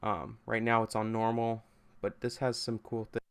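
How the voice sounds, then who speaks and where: A man hosting a casual, unscripted software tutorial, thinking aloud in a halting way as he goes. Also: lowish voice, level tone, gravelly, clean tone.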